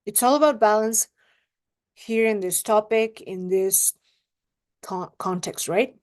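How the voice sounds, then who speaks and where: Slow-talking woman coach. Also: mid-range voice. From Mexico. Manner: unhurried and reflective, often asks the listener "right?". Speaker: relationship coach. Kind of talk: casual advice monologue to camera.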